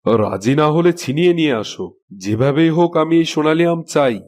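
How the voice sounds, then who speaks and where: A man's voice: on the high side, lively intonation, fairly quick, a little mellow, close to an everyday voice. A man voicing a caring but commanding king in a Bangla cartoon fairy tale. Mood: demanding.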